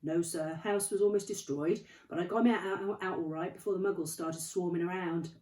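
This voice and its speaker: Woman doing a big soft-hearted giant man. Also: fairly low pitch, fairly even intonation, a little fast, distant mic, close to an everyday voice. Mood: eager. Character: huge, soft-hearted male giant, tearful. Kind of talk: storybook read-aloud. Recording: roomy, from off the mic.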